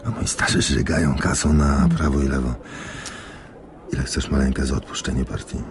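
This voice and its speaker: An actor playing a smug, cynical rich chess player in a radio drama, condescending and smooth-talking. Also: male voice, a bass voice, steady tone, moderate pace, slight background noise.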